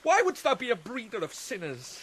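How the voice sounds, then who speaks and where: Guy playing a moody tormented young prince in a shakespeare radio play, long pauses, bitter, bit of background hiss. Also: very high voice, huge pitch swings, fairly bright tone, full character voice. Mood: anguished, bitter. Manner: theatrical, deliberate with long pauses. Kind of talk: Shakespearean radio drama acting.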